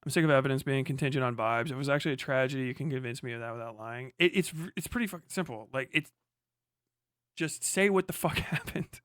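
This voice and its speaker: A man's voice: mid-range voice, fast talker, fairly bright tone, no room sound, studio quality. A man hosting a casual livestream, giving blunt, profane, slangy political commentary and talking back to chat. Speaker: livestream host.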